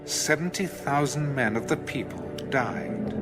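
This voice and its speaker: A man's voice: medium pitch, animated, measured pace, over loud music, lightly acted. A man narrating scripture from a script in a formal, solemn manner.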